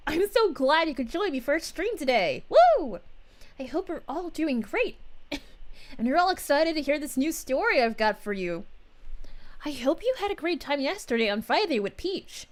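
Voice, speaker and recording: A woman doing a bubbly, high cheerful princess. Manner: bubbly, sing-song, giggly. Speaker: streamer playing a character. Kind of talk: live stream greeting chat.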